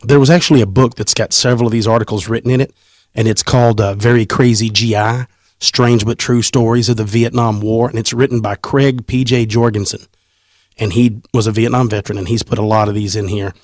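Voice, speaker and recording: A man, hosting a casual podcast and reading a story aloud in an animated, teasing way. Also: fairly low pitch, a little fast, no echo, clean studio sound. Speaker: podcast host.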